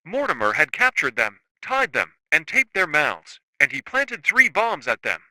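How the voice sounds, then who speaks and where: A man's voice: a little high, wildly swooping pitch, measured pace, sharp tone, no room sound, exaggerated voice, rough recording. A man playing an earnest young hero in an amateur web drama. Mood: grave, urgent. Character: earnest young male bomber hero leaving grave messages. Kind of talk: amateur web series voice acting.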